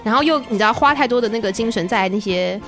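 A woman with a high, very animated voice and a Taiwanese Mandarin accent. She banters casually as a podcast co-host, exclaiming playfully. Music plays faintly behind her. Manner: playful, exclamatory, quick to laugh.

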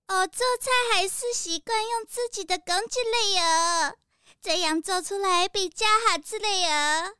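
A woman's voice: very high voice, unhurried, bright, forceful tone, no echo, clean studio sound, heavily acted. A woman voicing an eager, friendly lady vole cook with a quirky rustic verbal tic, in a children's audio story. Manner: quirky hometown verbal tic ending sentences.

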